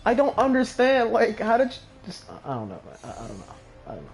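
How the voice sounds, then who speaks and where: A man's voice: high voice, huge pitch swings, quick pace. A young adult man streaming a video game with casual, profane, slangy commentary. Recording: game music behind the voice.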